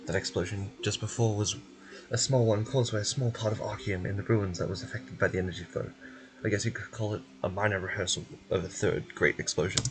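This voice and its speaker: Man as guarded game scientist, low voice, calm authority, formal and measured explanations. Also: close to an everyday voice, rough recording. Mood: calm, explanatory.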